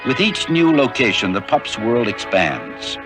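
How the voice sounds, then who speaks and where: A man narrating an educational nature documentary in a measured, informative way. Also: medium pitch, over music, poor audio. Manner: measured, even, informative delivery. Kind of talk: scripted narration.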